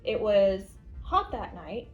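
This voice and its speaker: A woman's voice: medium pitch, sing-song, takes it slowly, lo-fi sound. American woman swapping work stories. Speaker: 911 emergency dispatcher. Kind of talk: casual storytelling among colleagues. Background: background music.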